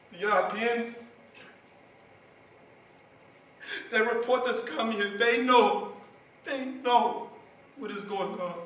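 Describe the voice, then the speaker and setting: A young man performing a dramatic stage monologue as an anguished, protective seventeen-year-old Darfuri refugee, impassioned and pleading. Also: high voice. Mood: fragile hope. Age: young adult. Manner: impassioned, pleading, swinging between cries and hushed grief. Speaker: student performer. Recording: roomy, distant stage recording, faint noise.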